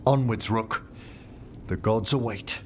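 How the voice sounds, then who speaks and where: A man playing a courtly, scholarly gentleman mage in a fantasy game, with formal, theatrical phrasing. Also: lowish voice, slow pace, a little hiss or hum. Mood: solemn, fond. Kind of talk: acted game dialogue. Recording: game audio with clear background ambience.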